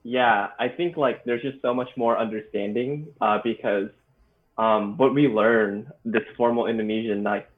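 A man's voice: fairly low pitch, fairly even intonation, unhurried. A young man, a university student, co-hosting a podcast in an upbeat, chatty way, full of "like". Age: young adult. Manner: upbeat, chatty, heavy use of "like". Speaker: podcast co-host. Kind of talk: casual chat. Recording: thin phone-like audio.

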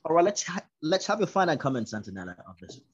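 A man's voice: on the high side, big pitch swings, fast, husky. A man hosting a podcast, friendly and a little hesitant as he hands over to guests, trailing off at the ends of lines. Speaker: podcast host. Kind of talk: hosting wrap-up. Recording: slightly dull lo-fi sound.

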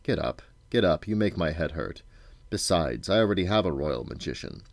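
A man voicing a grim, joyless old king in a storybook, speaking in a flat, curt, imperious manner. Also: low voice, normal speed, no echo. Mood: irritable.

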